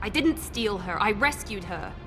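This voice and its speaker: A woman's voice: medium pitch, lively intonation, moderate pace, sharp tone. An actress playing a proud, guarded woman operative in a video game, speaking with intensity over music. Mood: hurt, angry. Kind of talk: dramatic video game acting. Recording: music behind the voice.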